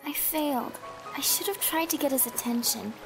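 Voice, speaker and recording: A woman playing a timid young catgirl from an anime fan dub, unsure and questioning in every line. Her voice sits high and soft, with faint music underneath. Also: normal speed, creaky, low-quality recording.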